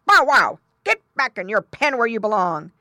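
A woman's voice: fairly high pitch, sing-song, normal speed, noisy background, pitched above the performer's own voice. A woman voicing a big, stern but polite male farm dog in a children's storybook, barking and firm. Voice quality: barking, growling. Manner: firm, loud, scolding.

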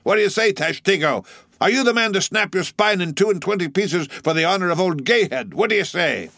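A man's voice: medium pitch, animated, normal speed, a bit bright, dry recording, studio quality, voice pushed up, lightly acted. A man voicing a jocular, blustering whaleboat mate in an audiobook, hectoring his crew with exclamations and rhetorical questions. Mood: roaring challenge. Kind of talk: audiobook storybook character voice.

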